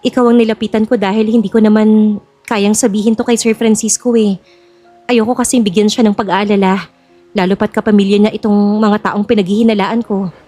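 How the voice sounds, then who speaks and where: A woman's voice: medium pitch, level tone, fairly quick, warm tone, soft background music, close to an everyday voice. A woman playing an earnest, guarded young bride-to-be in a Filipino audio drama, speaking conversationally. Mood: worried, confiding. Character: Jasmine, worried young bride-to-be of a rich old man.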